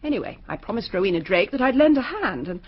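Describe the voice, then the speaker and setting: A woman's voice: medium pitch, big pitch swings, quick pace, husky. A woman playing a firm, breezy English mother in a radio drama, with an English accent. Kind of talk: British radio drama acting. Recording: dull radio drama recording.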